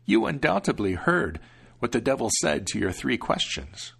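A man voicing a kindly but scolding old grandmother in a high, slow voice. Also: big pitch swings, vocal fry, a bit bright, husky, heavily acted.

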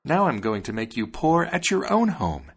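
A man's voice: fairly high pitch, big pitch swings, moderate pace, gravelly, slightly rough, voice pushed up, heavily acted. A man voicing a small, bold, loyal and vengeful sparrow in a storybook tale, speaking emphatically. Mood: threatening, taunting. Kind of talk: storybook character voice.

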